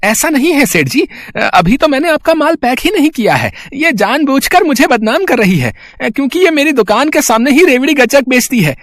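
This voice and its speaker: Man acting a high-pitched blustering crooked shopkeeper. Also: very animated, moderate pace, few pauses, fairly bright tone, no room sound, clean studio sound, heavily acted. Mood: defensive. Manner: blustering, threatening. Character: shifty, bullying male sweet-shop owner and drunkard husband. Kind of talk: Hindi moral-story cartoon acting. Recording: music bed behind the voice.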